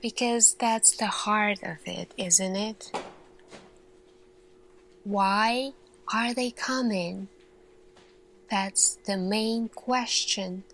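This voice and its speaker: A woman performing an ASMR sci-fi roleplay as an earnest, thoughtful lead scientist, speaking deliberately with pauses. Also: medium pitch, a bit bright, slight background noise, poor audio. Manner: slow, deliberate, pausing, questioning delivery. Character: earnest, thoughtful female lead scientist addressing her team.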